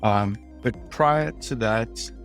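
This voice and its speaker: A man with a South African accent, a design leader speaking as a podcast guest in a thoughtful, measured way. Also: lowish voice, soft background music. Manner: thoughtful, measured, some ums and uhs. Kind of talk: podcast interview.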